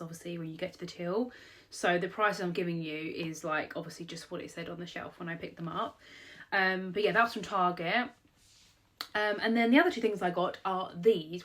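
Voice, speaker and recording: A woman's voice: on the low side, fairly bright tone. A young British woman vlogger with a cold, sounding slightly nasal and bunged up, chatting casually to camera about her shopping haul with lots of "um" and "like". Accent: British English. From England. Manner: chatty, rambling, many "um" and "like". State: blocked nose from a cold.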